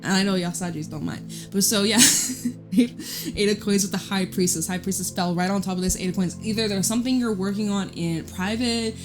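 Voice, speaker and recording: A woman giving a casual tarot reading in a loud, chatty voice, rambling off into side stories. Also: mid-range voice, fairly quick. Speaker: tarot reader. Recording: guitar music playing behind. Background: guitar music.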